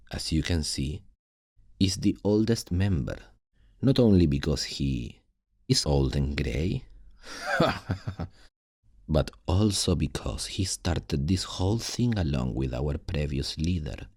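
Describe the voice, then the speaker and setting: A man playing a confident, cheeky thief recruiter in a fantasy ASMR roleplay, gravelly and husky, speaking in an unhurried, playful, expressive way. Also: extremely low pitch, dry recording, studio quality, heavily acted. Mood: explaining. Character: confident, cheeky male thief recruiter showing a newcomer around.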